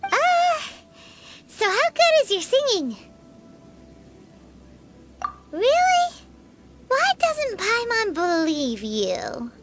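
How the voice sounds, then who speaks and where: A woman voicing a tiny, childlike fairy companion in a game, squeaky, chirpy, excitable and blunt. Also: slow, over music, exaggerated voice. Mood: skeptical. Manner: speaks of herself in third person, chirpy. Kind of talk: animated game dialogue.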